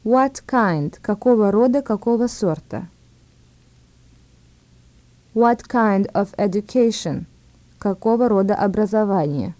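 A woman narrating a scripted language lesson as the instructor, giving words and phrases one by one with measured repetition. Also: mid-range voice, dark tone, dry recording. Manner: measured, word-by-word delivery with repetitions.

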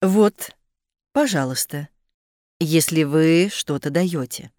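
A woman reading short phrase translations aloud for a language lesson in a clear, measured way. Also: deep voice, mellow, no echo, clean studio sound. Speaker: phrasebook reader.

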